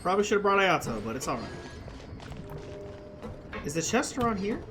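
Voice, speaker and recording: Casual let's-play commentator: mid-pitched, very animated delivery, playful slang, clear game music behind. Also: brisk. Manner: playful, slangy, reacting aloud. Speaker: gameplay streamer.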